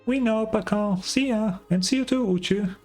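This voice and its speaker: A woman voicing a tiny, excitable, childish fairy companion in a video game, squeaky and cheeky. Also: moderate pace, soft background music, natural voice. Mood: cheery. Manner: talks of herself in third person, pouty.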